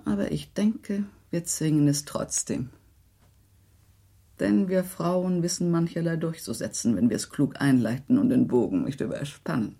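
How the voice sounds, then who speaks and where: Gracious, mellow, husky society lady. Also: deep voice, exaggerated voice. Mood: measured, reassuring. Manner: formal, measured, diplomatic. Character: gracious, tactful high-society lady, a minister's wife. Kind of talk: German radio play acting.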